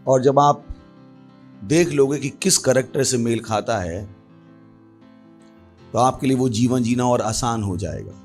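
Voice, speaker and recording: A man's voice: mid-range voice, unhurried, long pauses, a little mellow, faint music behind. An Indian man preaching as a pastor, teaching emphatically with repeated phrases and refrains. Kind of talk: sermon with prayer and Bible teaching.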